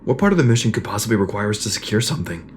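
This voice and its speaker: A man acting as a determined sci-fi squad leader with a low voice. He is a questioning shock trooper in an audio drama, recorded with faint noise behind him. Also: very animated, rapid-fire. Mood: earnest, questioning.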